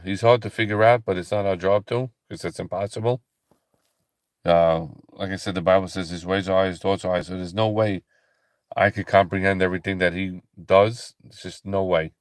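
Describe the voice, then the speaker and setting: A tired man hosting a late-night ministry livestream, talking slowly and softly with a calm, relaxing voice, with many pauses and restarts. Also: sits low, dry recording. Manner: slow, halting, soft-spoken, many fillers and restarts. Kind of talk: casual late-night livestream chat with viewers.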